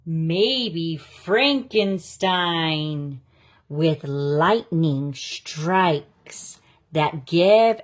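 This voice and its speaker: A woman reads a rhyming children's story aloud at a very slow pace. Her voice sits a little low for a woman, and she delivers each phrase deliberately and with expression. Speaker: story reader.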